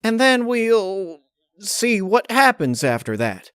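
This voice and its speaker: Male reader as female farm pony, raised pitch, folksy, nervous, evasive, stammering, story reading. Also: sing-song, slow pace, fairly bright tone, clear, resonant tone, no room sound, clean studio sound, exaggerated voice. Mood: nervous, forced cheer.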